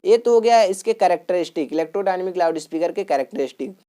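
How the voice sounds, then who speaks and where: A woman's voice: on the low side, animated, fast talker, mellow. A woman teacher giving an explanatory lesson, going step by step, repeating points and often asking check questions. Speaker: electronics teacher.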